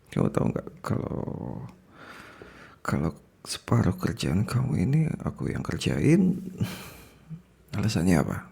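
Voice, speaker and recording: A man acts out a smitten, possessive boss in an intimate ASMR roleplay, with an Indonesian accent. His voice is very husky and breathy and moves slowly, with sighs and repeated words. The pitch swings expressively between murmurs and fuller speech. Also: heavily acted. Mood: insistent, teasing. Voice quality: breathy, gravelly. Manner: halting, sighing, repeats words, slips into whispers. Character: possessive, flirtatious male boss smitten with an employee.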